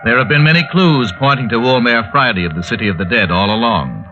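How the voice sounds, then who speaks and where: A man's voice: mid-range voice, expressive, quick pace, slight background noise. A man announcing in a dramatic, scripted 1940s radio style. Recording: dull 1940s radio recording. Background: music.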